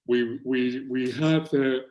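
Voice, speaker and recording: A man speaking slowly and reflectively as a guest in a panel interview. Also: mid-range voice, fairly even intonation, a little mellow, reverberant. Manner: slow and reflective.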